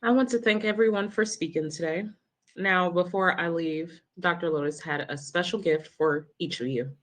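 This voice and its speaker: A woman's voice: lowish voice, normal speed, fairly bright tone, lightly acted. A woman playing a polite, concerned ward staff member in a screenplay table read, courteous and earnest.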